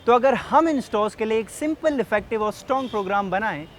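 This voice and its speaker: A man's voice: high-pitched, expressive, quick pace, a little hiss or hum. A man playing an earnest, persuasive sales rep, explaining things directly to the listener in a scripted training film. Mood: explanatory, upbeat. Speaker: presenter and sales representative. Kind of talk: scripted training film narration and role-play.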